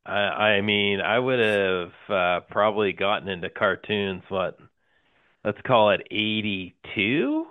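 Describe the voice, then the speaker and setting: A man in his forties co-hosting a podcast in casual chat, hesitant with many fillers and quick to laugh. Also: on the low side, lively intonation, clear, resonant tone, no room sound. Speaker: podcast co-host. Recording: tinny phone-like line.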